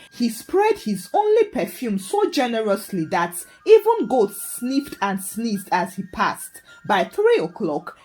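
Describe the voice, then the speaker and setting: A woman with a Nigerian accent telling a folktale as scripted narration in a dramatic, expressive way. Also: mid-range voice. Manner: dramatic, animated storytelling. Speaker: storyteller.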